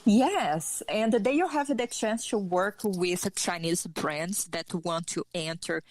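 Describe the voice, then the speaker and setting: A middle-aged woman with a Brazilian Portuguese accent answers interview questions slowly and carefully. She pauses on fillers, and her voice sits a bit low. The sound is dry and close. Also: darkish tone, dry recording. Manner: measured, hesitant with fillers, occasional light laugh. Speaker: interview guest. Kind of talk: podcast interview.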